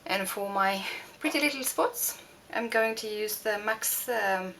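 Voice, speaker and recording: A young woman from Norway with a Norwegian accent, talking through a makeup tutorial with many pauses and restarts. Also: mid-range voice, fairly bright tone, a little hiss or hum. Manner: hesitant, many fillers and restarts. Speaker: beauty vlogger. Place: home room.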